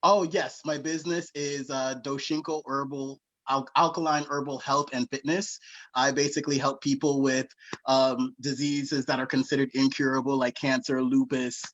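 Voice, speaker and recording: A man from the Bahamas introducing himself as a guest on a video call, friendly and quick to laugh. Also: mid-range voice, level tone, fairly bright tone. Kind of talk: casual video-call chat. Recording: dull lo-fi audio.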